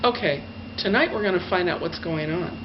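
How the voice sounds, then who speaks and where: A woman's voice: on the low side, lively intonation, brisk, close to an everyday voice. A woman storyteller voicing a kindly old shoemaker in a fairy tale, simple and full of homely wonder. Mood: resolved. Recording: dull lo-fi sound with faint noise.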